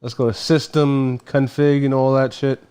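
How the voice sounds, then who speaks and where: Laid-back male co-host, jokey and casual, mid-pitched voice. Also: little pitch movement, normal speed, dark tone. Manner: joking, laid-back, swears. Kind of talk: casual chat.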